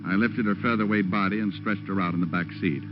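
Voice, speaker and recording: An actor playing a hard-boiled private detective with an American accent, narrating his case with wry, deadpan wisecracks in an old radio drama. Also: low-pitched, fast, lightly acted. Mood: terse, wry. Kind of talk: 1940s-50s radio detective drama acting. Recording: dull old radio recording with music.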